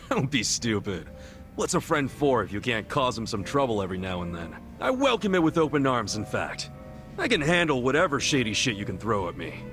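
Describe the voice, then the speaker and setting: An actor playing a brash, warmhearted, loyal street tough in a video game cutscene, blunt and informal. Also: male voice, medium pitch, sing-song, normal speed, soft background music. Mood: breezy, loyal. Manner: informal, blunt, swaggering, foul-mouthed.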